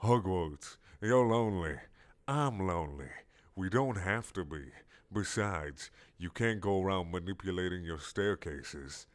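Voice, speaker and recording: A man voicing a huge male giant squid, smooth-talking and domineering, in a hushed, persuasive, unhurried way for a comic story. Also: deep voice, animated, no room sound. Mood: coaxing.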